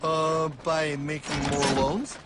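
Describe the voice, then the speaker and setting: A man voicing a naive, excitable cartoon everyman with an American accent, quick to exclaim and protest. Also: fairly high pitch, very noisy. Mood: indignant. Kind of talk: animated cartoon dialogue. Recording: music behind the voice.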